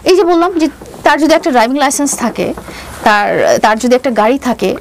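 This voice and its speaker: A woman's voice: high voice, lively intonation, fairly quick. A middle-aged woman with a Bangladeshi accent telling her personal story as an interview guest, mixing in English phrases and often restarting as she hesitates. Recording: noticeable background noise.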